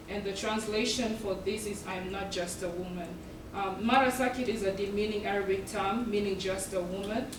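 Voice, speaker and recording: Young woman presenting in an echoey room. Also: medium pitch. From South Sudan and Uganda. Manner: earnest, measured, with frequent ums. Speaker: student presenter. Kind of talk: presentation talk. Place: event room. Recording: echoey room from far off, with background noise.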